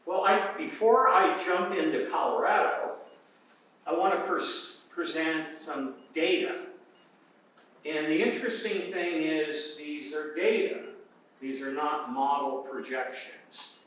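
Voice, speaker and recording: An older man, an emeritus professor, giving an academic talk at a symposium with an unhurried, emphatic manner and some hesitations. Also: a little high, fairly bright tone. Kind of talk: academic presentation. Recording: very echoey hall, voice from far off the mic.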